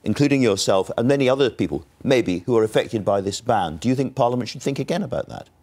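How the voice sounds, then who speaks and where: A man's voice: medium pitch, expressive, fast talker, darkish tone. A man working as a news interviewer, asking direct, pressing questions.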